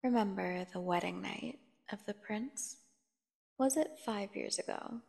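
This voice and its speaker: A woman playing a seductive, dangerous captive vampire in an ASMR fantasy horror roleplay, teasing and theatrical, with a creaky voice, speaking straight to the listener. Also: mid-range voice, darkish tone. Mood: bitter recollection. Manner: teasing, slow, theatrical, addressing the listener directly. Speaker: audio roleplay performer. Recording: long echoing reverb.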